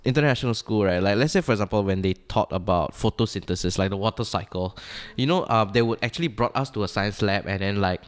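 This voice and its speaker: A man's voice: fairly low pitch, fast, few pauses. A young man from Indonesia with a Singaporean English accent, chatting casually as a guest with lots of fillers. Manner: relaxed, rambling, frequent fillers. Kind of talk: casual chat.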